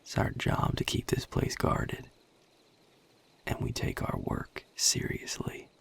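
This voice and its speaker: A man playing a guarded, world-weary vampire in an intimate audio roleplay, with a Southern US accent and a breathy, gravelly drawl, speaking in measured, hushed phrases. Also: whispered. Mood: calm, wary. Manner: measured, hushed, plain-spoken with deliberate pauses. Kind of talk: intimate audio roleplay acting addressed to the listener.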